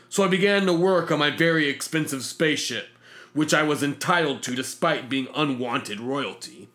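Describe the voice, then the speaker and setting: Bright-voiced man narrating with pompous flair. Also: a little high. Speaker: narrator reading a fictional diary. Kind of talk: scripted comic sci-fi audio story narration.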